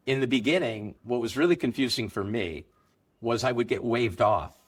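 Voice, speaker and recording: A man's voice: medium pitch, normal speed. Middle-aged man explaining a trade. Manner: explanatory, anecdotal, with occasional laughs. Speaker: gold business co-founder, guest. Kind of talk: conversational interview answers.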